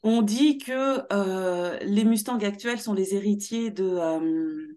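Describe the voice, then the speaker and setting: A woman's voice: mid-range voice, slow, mellow. Woman explaining on a video call. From Martinique heritage, born in Paris. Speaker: guest speaker. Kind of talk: conversational interview on a video call. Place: small room at home.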